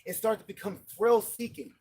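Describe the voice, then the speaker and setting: A man's voice: sits high, animated, moderate pace, mellow, a little hiss or hum. Fired-up man at a night street protest. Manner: profane, emphatic, repeats "period". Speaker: protest marcher. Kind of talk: impassioned street speech.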